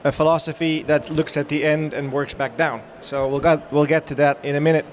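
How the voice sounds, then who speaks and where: A middle-aged Dutch man talks to a crowd at a trade show, upbeat and chatty, often pausing on fillers and restarting his sentences. His voice sits somewhat high for a man. A faint wash of show-floor noise runs behind him. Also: little pitch movement. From Netherlands. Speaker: dive training director giving a trade-show talk. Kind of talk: live presentation to an audience.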